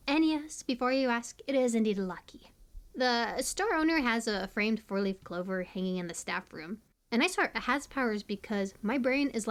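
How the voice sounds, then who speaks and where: A woman playing a bubbly, quirky young small-town local in an audio roleplay, rambling casually with playful, high energy and lots of filler words. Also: close to an everyday voice. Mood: chatty, excited.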